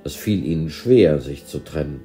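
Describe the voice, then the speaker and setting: A man reading a scripted storybook narration in a measured, expressive way. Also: extremely low pitch, soft background music. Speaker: volunteer reader of an audio story.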